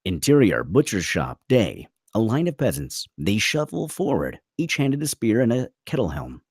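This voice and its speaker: Low male narrator, animated intonation, dry close sound, dramatic screenplay reading, stage directions. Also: normal speed, breathy edge, dry recording. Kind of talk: dramatic scripted narration at a table read.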